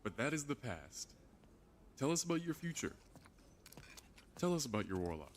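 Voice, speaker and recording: Guy playing solemn commanding soldier buddy in audio drama, medium pitch, slight background noise. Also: very animated, normal speed, close to an everyday voice. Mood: solemn, rousing. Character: steady, commanding male Titan comrade, solemn and probing. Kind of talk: fan audio drama acting.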